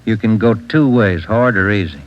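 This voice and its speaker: A man's voice: on the low side, normal speed, natural voice. An actor playing a plain-spoken male police detective questioning a suspect. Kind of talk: 1940s radio acting. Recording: muffled old radio broadcast.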